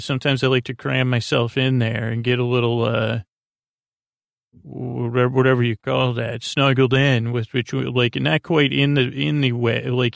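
A man hosts a bedtime podcast in a middling-pitched voice full of creak. He meanders, halts and repeats himself in a whimsical, self-deprecating way. The sound is dry, with no room around it. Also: dry recording. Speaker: podcast host. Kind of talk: rambling, unscripted bedtime podcast monologue.

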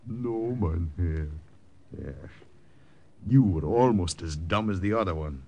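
An actor playing an arrogant, bullying major who barks orders. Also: male voice, deep voice, expressive, slow, mellow, lo-fi sound, full character voice. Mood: mocking, drunk. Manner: imperious, sneering, barking orders. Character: arrogant, bullying, brandy-swilling German army major. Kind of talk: old-time radio drama acting. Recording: noisy old radio drama recording.

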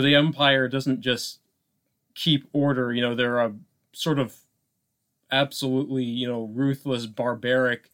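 A man co-hosting a podcast, giving casual film commentary in a halting, thoughtful, wordy way. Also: mid-range voice, a bit bright. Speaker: podcast co-host.

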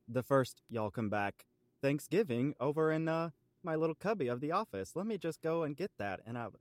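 A man playing a cheeky island local, halting and mock-formal as he proudly banters about quirky traditions on an improvised comedy podcast. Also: fairly high pitch, expressive, dry recording, studio quality, natural voice.

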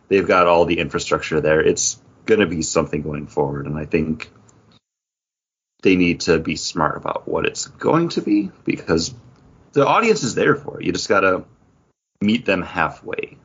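Deep, husky-voiced male podcaster. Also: dull audio. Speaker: podcast co-host. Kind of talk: casual chat about theme park attractions.

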